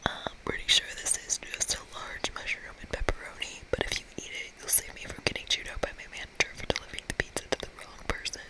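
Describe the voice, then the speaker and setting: Someone speaking in a breathy, unvoiced whisper, reading a game aloud as an ASMR host. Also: fast, few pauses, rough recording.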